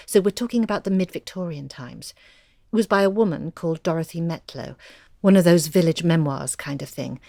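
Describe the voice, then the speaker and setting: A woman's voice: lowish voice, darkish tone, dry recording, clean studio sound. An actress playing a bookish woman writer who eagerly recounts her research in a confiding, articulate way, as intimate audio drama storytelling.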